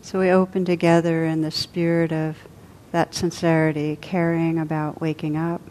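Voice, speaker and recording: A woman with a fairly low voice leads a guided meditation. She speaks softly and without hurry, leaving long pauses between her phrases. A faint hiss sits under the recording. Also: flat delivery, rough recording. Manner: soft, unhurried, with long pauses. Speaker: meditation teacher.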